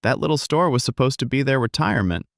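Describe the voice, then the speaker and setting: A man voicing a tired small-town man who speaks quietly and glumly, in an audiobook reading. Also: medium pitch, lively intonation, fast, vocal fry, dry recording, studio quality, pitched above the performer's own voice. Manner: quiet, glum. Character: weary small-town man bringing bad news. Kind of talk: audiobook character voice.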